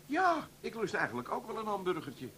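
Lively, brash Amsterdam schemer. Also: male voice, high-pitched, brisk, exaggerated voice. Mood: indecisive. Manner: cocky, colloquial. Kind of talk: comic radio play acting.

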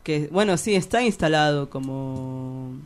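A woman's voice: low voice, animated, measured pace. A young Argentine woman with a Rioplatense accent, giving a casual interview in a rambling, hesitant, slangy and giggly way. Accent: Rioplatense Spanish. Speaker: interview guest, poet.

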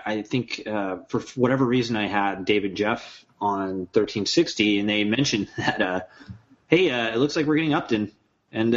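A man co-hosting a casual sports podcast, opinionated and quick to jump in. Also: medium pitch. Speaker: podcast co-host. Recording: dull lo-fi podcast audio.

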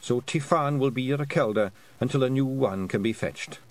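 A man voicing a dying old fairy clan mother with a Scottish accent, speaking slowly and firmly in a raised voice. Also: close to an everyday voice. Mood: commanding, frail. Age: over 60. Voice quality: slow, raised-pitch, firm old-woman voice.

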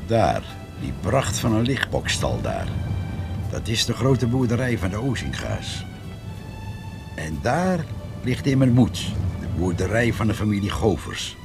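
An actor playing a chatty, folksy old pensioner who rambles proudly in a radio play. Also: male voice, lowish voice, big pitch swings, a little slow, vocal fry, over music, heavily acted. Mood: folksy, musing. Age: 65 or older. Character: chatty village old-timer on a pension, nobody's servant. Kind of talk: radio drama narration in character.

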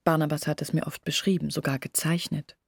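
An actress playing an earnest village woman in a radio play, explaining things in a measured, husky voice. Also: deep voice, little pitch movement, dry recording, full character voice. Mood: troubled, insistent. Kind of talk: literary radio play monologue.

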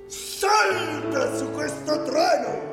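An actor playing a gloating, arrogant champion of big public works who sneers at common people, in a theatrical, mocking radio-play monologue. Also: male voice, very high voice, animated, very slow, far from the mic, heavily acted. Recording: echoey hall from afar, loud music.

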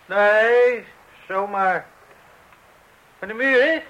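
A man's voice: high-pitched, extremely slow, a bit bright, lo-fi sound, full character voice. A man playing a stubborn, rule-bound refuse collector with a blunt manner. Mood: incredulous. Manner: blunt, colloquial, insistent. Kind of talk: radio play acting.

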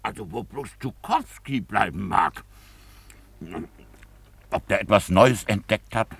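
An actor in a 1950s German radio play playing a jovial married gentleman who talks with convivial relish. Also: on the low side, animated, slow, vocal fry, breathy edge, no room sound, full character voice.

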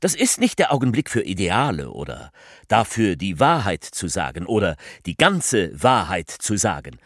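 An actor playing an evasive, self-justifying politician in a theatrical speech, speaking emphatically with deliberate pauses. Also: male voice, sits low, huge pitch swings, a bit bright, dry recording, studio quality, exaggerated voice. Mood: emphatic. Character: male politician orating, evasive, self-justifying, folksy storyteller.

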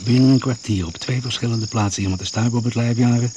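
A man's voice: medium pitch, fairly even intonation, fast, natural voice. An actor playing a shrewd, wry, fatherly older investigator in a Dutch radio drama. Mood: wry, reasoning. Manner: wry, reasoning step by step. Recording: noisy old radio play recording.

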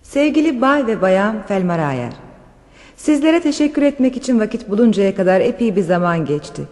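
In a reverberant hall sound, an actress plays a gracious countess for radio theatre. Her voice is soft-edged and mellow, of middling pitch, and she speaks with formal, gentle courtesy. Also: sing-song, normal speed, close to an everyday voice. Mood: formal, warm gratitude. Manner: polite, soft-mannered, formal. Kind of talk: Turkish radio theatre acting.

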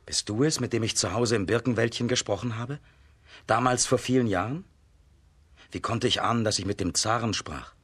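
A man's voice: on the low side, normal speed, a bit bright, slightly rough. A man acting a brave, humble young peasant hero, earnest and sincere, in a children's radio drama. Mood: wondering.

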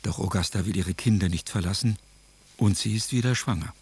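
A man narrates a radio drama from a script. His voice is low and husky, with a factual documentary delivery. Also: fairly quick, darkish tone.

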